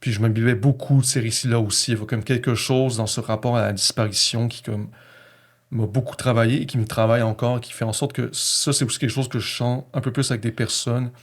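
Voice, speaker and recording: A man's voice: medium pitch, fairly even intonation, fast talker. A man with a Québécois accent reflecting personally in conversation, feeling his way through sentences and often correcting himself. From Quebec, Canada.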